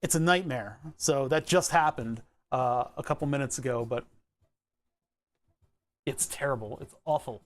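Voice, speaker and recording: A man's voice: medium pitch, normal speed, long pauses. A man chatting casually on a live stream about his setup. He rambles easily, chuckles often, and talks into a lapel mic in his workshop. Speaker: livestreamer.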